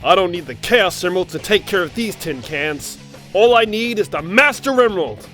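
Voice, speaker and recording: A man's voice: high-pitched, animated, moderate pace, fairly bright tone, faint music behind. A man voicing a proud, determined echidna guardian hero, earnest and declamatory, in a fan audio drama. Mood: confident.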